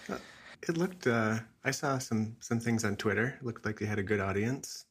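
Husky low-voiced man, easygoing. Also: moderate pace. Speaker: podcast co-host. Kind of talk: casual chat. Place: office.

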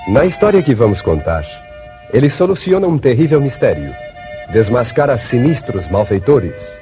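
An actor playing a grand, dramatic narrator of a western serial, declaiming with a Brazilian accent in 1950s radio style. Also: male voice, mid-range voice, big pitch swings, normal speed, over music, close to an everyday voice. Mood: solemn, rousing. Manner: declamatory, solemn, heroic.

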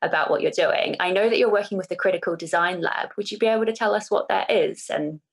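Low creaky-voiced New woman. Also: quick pace. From New Zealand. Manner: thoughtful, hesitant with fillers. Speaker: interviewer. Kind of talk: casual interview chat.

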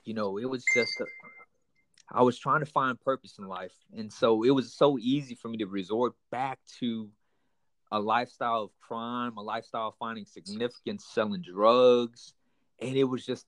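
Guest: young man from Texas, earnest, halting, mid pitch, dry close sound. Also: a bit bright, dry recording, rough recording. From United States, raised in Houston, Texas. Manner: earnest, halting with many fillers and restarts. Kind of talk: personal testimony in an interview.

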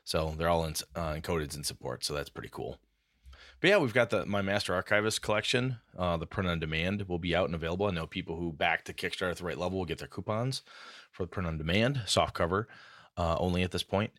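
A man co-hosting a gaming podcast in casual chat, telling animated stories and acting out what others said. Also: low voice, quick pace, no room sound, clean studio sound. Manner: animated storyteller acting out quotes. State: a bit groggy. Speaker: podcast co-host.

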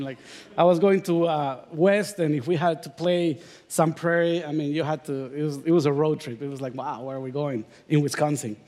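Honduran guy preaching, spanish accent, pretty high voice, jokey relaxed stories. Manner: relaxed storytelling, self-deprecating humor. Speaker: guest preacher. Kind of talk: sermon with personal stories.

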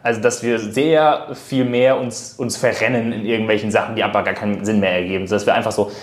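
Measured man explaining in an echoey room. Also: fairly low pitch, fairly even intonation. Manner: measured, explains carefully, corrects wording. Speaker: coach. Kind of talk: casual podcast conversation.

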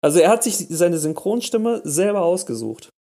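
A man's voice: high-pitched, moderate pace. A man co-hosting a casual podcast chat, talking animatedly and tripping over his words when he gets going. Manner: animated, restarts and stammers when excited. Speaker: podcast co-host.

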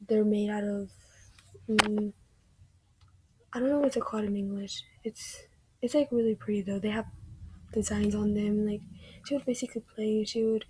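Young female podcast host; casual recap, filler-heavy, flat intonation, breathy at times, dry recording. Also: mid-range voice, dry recording, rough recording. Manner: chatty, fillers, drifts into whispery stretches.

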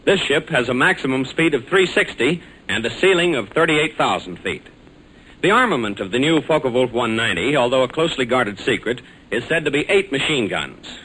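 Bright-voiced 1940s American radio announcer, man. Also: medium pitch, expressive, fairly quick. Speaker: radio announcer and story narrator. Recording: noisy old 1940s radio recording.

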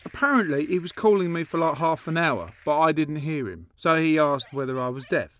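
An actor playing a dim, oblivious, unbothered boyfriend with a deadpan, literal manner in a dark comedy audio drama. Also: male voice, fairly high pitch. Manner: deadpan, slow-witted, literal.

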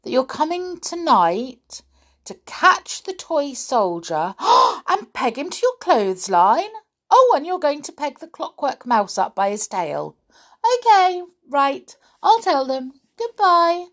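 Sly storybook girl doll, performed by a woman: bossy, gushing mock politeness, raised animated voice. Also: sits high, slow pace, voice pushed up, heavily acted. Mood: gushing pretence. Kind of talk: children's storybook reading.

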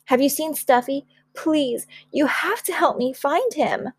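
A woman's voice: mid-range voice, steady tone, moderate pace, vocal fry, a little mellow, dry recording. A woman voicing an eager, pleading young girl in a children's storybook. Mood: panicked. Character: eager young girl, upset then thrilled.

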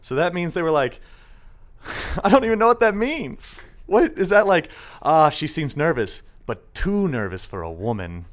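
A man's voice: on the high side, big pitch swings, measured pace. A young man hosting a casual podcast chat, joking and often slipping into silly put-on voices. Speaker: podcast host.

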